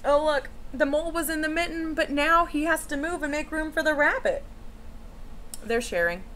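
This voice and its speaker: A woman reading a picture book to young students as a teacher, in an expressive storytelling style with chatty asides. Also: high voice, normal speed, a little hiss or hum. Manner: animated, with asides and questions to children. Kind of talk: expressive children's read-aloud storytelling.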